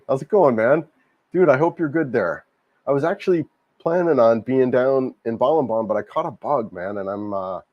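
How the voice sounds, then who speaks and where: A man hosting a casual livestream, a little hoarse from a cold, rambling and repeating himself as he answers viewer comments. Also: on the low side, a little mellow, no echo, highs cut off. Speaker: livestream host. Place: home in Cebu, Philippines.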